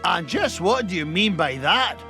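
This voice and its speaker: A man's voice: sits high, big pitch swings, normal speed, bright, forceful tone, soft background music, full character voice. A man voicing a boastful, sneering rival tycoon duck. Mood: smug. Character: smug rival rich duck, boastful, cheating. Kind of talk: video game cutscene acting.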